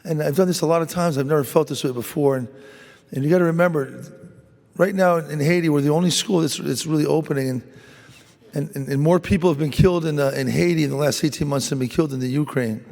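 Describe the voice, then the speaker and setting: A man from a mission team telling a story to a church congregation, halting and earnest, repeating words as he goes. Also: fairly high pitch, gravelly, a little mellow. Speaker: mission worker speaking at Mass. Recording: church hall reverb.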